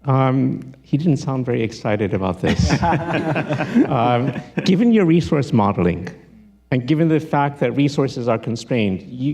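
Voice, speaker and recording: A man's voice: medium pitch, vocal fry, a little mellow. A man putting spontaneous questions to a speaker in a panel discussion.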